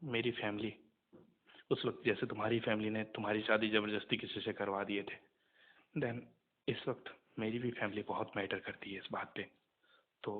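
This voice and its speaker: A young man talking casually on a recorded phone call, subdued and earnest, with hesitant pauses. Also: on the low side.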